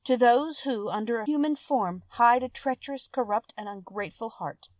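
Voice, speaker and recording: Woman playing a sincere, soft-hearted storybook maiden. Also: fairly high pitch, moderate pace, dry recording, voice pushed up, natural voice. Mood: kind, sincere. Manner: earnest, polite old-fashioned speech.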